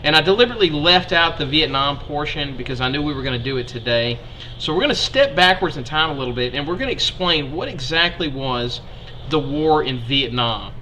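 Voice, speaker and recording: A man's voice: on the high side, animated, fairly quick, pushed and bright, slight background noise, rough recording. A young man with an Alabama accent teaching a history lesson in a chatty, folksy way to his students.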